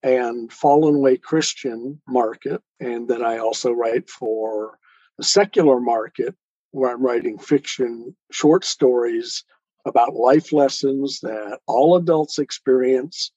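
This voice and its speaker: A man speaking as a guest author in an interview, with measured, deliberate phrasing. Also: medium pitch, dark tone, dry recording. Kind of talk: interview talk about his work. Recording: dull, band-limited remote-sounding audio.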